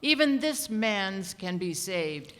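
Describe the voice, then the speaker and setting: A man performs a devout, self-sacrificing priest for a radio play. The delivery is unhurried, earnest and archaic, and it carries through a long hall reverb. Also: sits high, very animated, fairly bright tone, clear, resonant tone, full character voice. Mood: earnest pleading. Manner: earnest, solemn, archaic speech. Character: gentle, self-sacrificing old priest, pleading for souls. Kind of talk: old-time radio drama acting.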